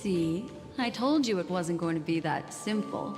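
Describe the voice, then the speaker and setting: An actress playing a composed, elegant mystic guide in a video game, speaking in a measured, formal way. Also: female voice, on the low side, expressive. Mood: calm, cautioning. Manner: measured, formal, deliberate phrasing. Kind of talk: dramatic video game voice acting. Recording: long hall reverb under game music.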